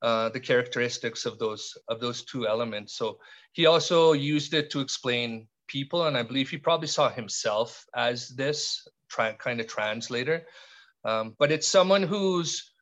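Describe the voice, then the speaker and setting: A middle-aged man from Canada, a podcast guest speaking in a reflective, halting way with frequent restarts and fillers. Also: medium pitch, a bit bright. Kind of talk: reflective conversational interview.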